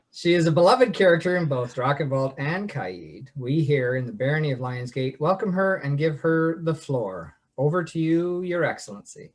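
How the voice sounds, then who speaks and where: Man hosting, reading out an introduction. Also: fairly high pitch. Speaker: host.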